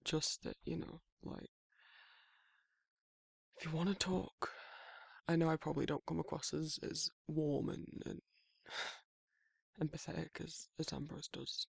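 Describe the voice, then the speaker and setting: A man voicing a gruff but caring werewolf in an intimate ASMR roleplay, with a husky Northern English accent and a hesitant, informal way of talking. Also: on the high side, fairly even intonation, measured pace, long pauses, no room sound, clean studio sound, full character voice. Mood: gentle, reassuring. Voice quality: husky, gravelly. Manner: hesitant, informal, stumbling over feelings. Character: gruff but caring young male werewolf, awkwardly protective.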